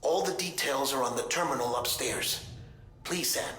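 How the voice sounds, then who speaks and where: A man's voice: mid-range voice, normal speed, pushed and bright, a little hiss or hum, close to an everyday voice. An actor playing an analytical, anxious male colleague in a video game, explaining matters in an articulate, urgent way. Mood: urgent, pleading. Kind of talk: cinematic game dialogue. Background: music.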